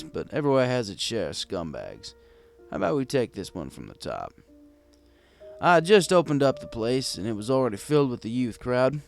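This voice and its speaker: A man narrates a story in a slightly low voice with highly animated intonation. He speaks as a wry bartender, chatting conversationally to the listener as he reads. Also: creaky. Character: wry, gruff bartender pony telling his tale. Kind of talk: scripted first-person narration of a fan fiction story.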